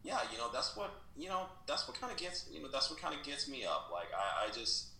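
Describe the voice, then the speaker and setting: An American man answering as an interview guest, earnest and conversational, often halting and repeating phrases as he goes. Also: fairly high pitch, big pitch swings, vocal fry, bright, forceful tone. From Kansas City, Missouri, USA. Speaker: guest, writer. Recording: echoey room, faint background noise.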